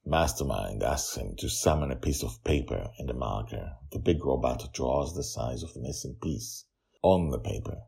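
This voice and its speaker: A man with a gravelly, husky voice narrating a story as its author and host, in a theatrical, emphatic way with dramatic pauses. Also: very deep voice, expressive, dark tone.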